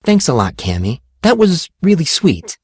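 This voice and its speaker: A man's voice: fairly high pitch, huge pitch swings, moderate pace, darkish tone, husky, no room sound, studio quality, pitched above the performer's own voice, heavily acted. A man voicing a sarcastic, easily exasperated teenage tomboy girl in an audiobook story.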